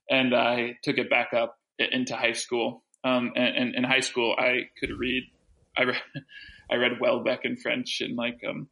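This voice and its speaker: An American man in his thirties, a translator telling stories in a relaxed, chatty way during an interview. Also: medium pitch, measured pace, poor audio. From United States. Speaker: translator and guest. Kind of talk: casual interview answers.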